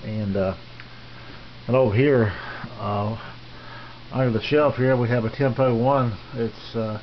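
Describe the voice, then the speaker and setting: A man with a mid-pitched voice talks casually and without hurry, as a hobbyist showing visitors around. His delivery is halting and plain-spoken. The sound is dull and lo-fi, with faint noise underneath. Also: fairly even intonation. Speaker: amateur radio hobbyist giving a tour. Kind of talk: casual unscripted equipment tour. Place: small home radio shack, indoors.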